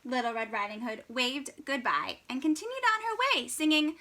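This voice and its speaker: A woman reading a children's story aloud in an animated, expressive way with dramatic pauses. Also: sits high, heavy background noise.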